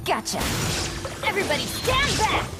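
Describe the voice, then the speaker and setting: Game battle calls: bold young fighter, very high, swooping delivery, loud music behind. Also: female voice, big pitch swings, normal speed. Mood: excited, confident. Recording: loud music and crashing effects.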